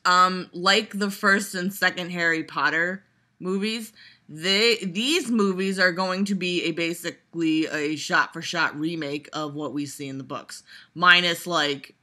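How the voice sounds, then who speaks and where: Exasperated woman ranting with a bright tone. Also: lowish voice, a little slow. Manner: exasperated, profane, lots of fillers. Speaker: vlogger reviewing a trailer. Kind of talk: casual ranting reaction.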